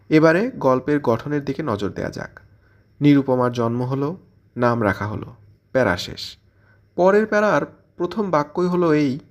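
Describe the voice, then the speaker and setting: A man presenting a scripted literary commentary in an explanatory way, posing questions and answering them as he goes. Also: mid-range voice. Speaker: presenter of a literary audio channel.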